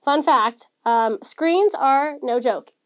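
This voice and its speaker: Young woman co-host, slightly high voice, chatty self-deprecating storyteller. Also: slow pace. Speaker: podcast co-host. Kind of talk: casual chat.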